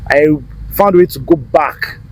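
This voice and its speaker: Husky-voiced Nigerian man, relaxed. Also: on the high side, normal speed, rough recording. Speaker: guest actor. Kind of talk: casual interview chat.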